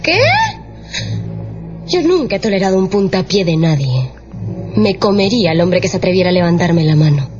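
An actress playing a capricious, volatile young courtesan in an impassioned, theatrical radio drama style. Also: female voice, lowish voice, animated, normal speed, pauses often, darkish tone, lightly acted. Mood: indignant. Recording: dull radio production with music underneath.

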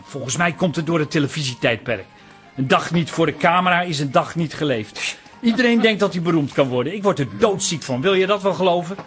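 An actor playing a morose, self-pitying photographer who complains, in a Dutch radio comedy drama. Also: male voice, on the high side, expressive, brisk, fairly bright tone, soft background music. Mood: morose complaining. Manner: whingeing, morose.

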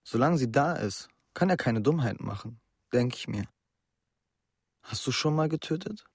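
Anxious schoolboy, dry sound. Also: male voice, medium pitch, lively intonation, moderate pace, darkish tone, slightly rough, no room sound. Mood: worried. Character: anxious schoolboy worried about his older brother. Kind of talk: naturalistic radio drama dialogue.

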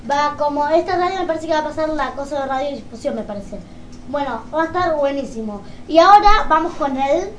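A woman with a Rioplatense Argentine accent chatting casually as a radio presenter. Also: sits high. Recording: roomy echo, background noise.

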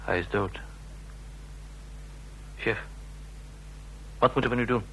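An actor playing a nervous, bungling junior agent in a radio drama, flustered, pleading and stammering. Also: male voice, on the low side, slow pace, bright, forceful tone, a little hiss or hum, low-quality recording. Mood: alarmed.

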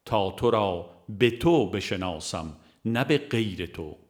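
A man narrating a religious audiobook, reciting scripture and verse in a measured, formal way with pauses between phrases. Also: mid-range voice.

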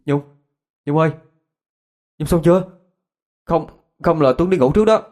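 Man as young male student, concerned friend, hesitant colloquial talk, raised lively pitch, ghost story. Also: a little fast, lightly acted. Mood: urgent.

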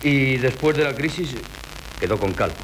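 A man's voice: mid-range voice, expressive, moderate pace, close to an everyday voice. An actor playing a pompous, methodical doctor in a 1970s radio comedy, officious and muttering as he questions. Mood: self-assured, clinical. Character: pompous, methodical male doctor taking a case history. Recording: noisy old 1970s radio recording.

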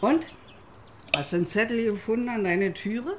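A woman's voice: fairly high pitch, moderate pace, noisy background, close to an everyday voice. An actress playing an old, lonely war-widow neighbour, chatty and mothering, in a radio drama. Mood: gossipy. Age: older.

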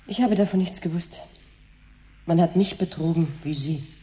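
A woman's voice: lowish voice, normal speed, dark tone, natural voice. An actress playing a betrayed woman doctor in a radio drama, sober and bitter. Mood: bitter, resigned.

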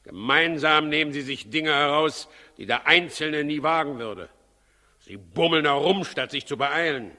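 A man's voice: a little high, a little slow, sharp tone. An actor playing an imperious, suspicious and impatient tyrant king in a 1960s radio play, commanding, sarcastic and menacing. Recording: 1960s radio recording, reverberant hall.

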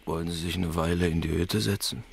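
A man acting a gruff, reserved gamekeeper in a radio play, speaking in terse, blunt phrases with grudging deference. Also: low-pitched, steady tone, a little fast, slight background noise. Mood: curt, deferential.

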